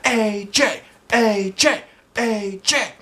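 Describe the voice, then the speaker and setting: A man voicing excited, hollering audience members at a school show in a children's storybook. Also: high voice, extremely slow, voice pushed up, exaggerated voice. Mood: chanting. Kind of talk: children's storybook read aloud.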